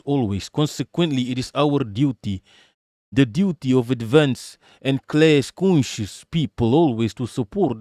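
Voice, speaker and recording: A man speaking in a put-on American accent at a middle pitch, recorded dry and close with no room sound. He reads passages aloud and then breaks into emphatic, profane commentary, often tripping over and repeating his words. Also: a little mellow, no room sound. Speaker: livestream political commentator. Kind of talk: reading aloud mixed with ranting commentary.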